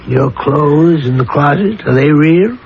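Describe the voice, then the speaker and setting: An actor playing a calm, insistent psychiatrist with a measured, deliberate delivery in a 1970s radio drama. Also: male voice, on the high side, vocal fry, husky, heavily acted. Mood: insistent, methodical. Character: calm, probing male psychiatrist pressing his patient with theories. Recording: dull lo-fi 1970s radio recording.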